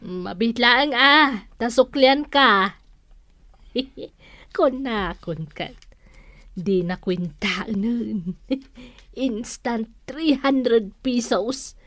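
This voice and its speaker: Slow, high-voiced Ilocano storekeeper. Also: female voice, fairly bright tone, dry recording. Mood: wry, insistent. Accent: Filipino, Ilocano. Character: unhurried village storekeeper woman, firm about debts. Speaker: radio drama actress. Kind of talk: Ilocano radio comedy drama acting.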